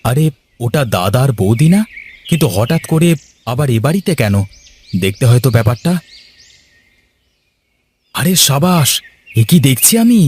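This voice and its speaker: A man's voice: medium pitch, a little mellow, slight background noise. A man voicing a hot-headed, resentful younger brother from a village in a cartoon. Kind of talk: Bengali cartoon dialogue.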